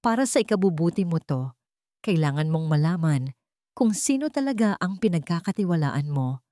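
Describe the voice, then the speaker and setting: A woman playing a scheming young man in a radio drama, speaking gently with feigned concern and a taunting edge. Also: on the low side, lively intonation, normal speed, no room sound, clean studio sound, close to an everyday voice. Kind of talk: Tagalog radio drama storytelling.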